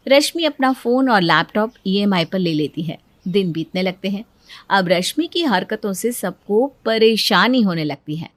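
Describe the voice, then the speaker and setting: Woman narrator, medium pitch, scripted storytelling, very dry close sound. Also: lively intonation, unhurried, dry recording.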